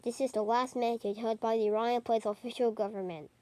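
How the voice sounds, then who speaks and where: A woman reading a homemade mock emergency broadcast in a halting, improvised way with stumbles. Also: on the high side, steady tone, warm tone, no echo. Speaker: narrator of a homemade emergency alert scenario.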